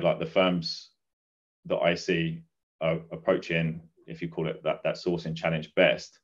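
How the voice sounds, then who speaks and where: Very deep, flat-toned man, muffled audio. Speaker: guest, recruitment business advisor. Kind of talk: conversational podcast interview answers.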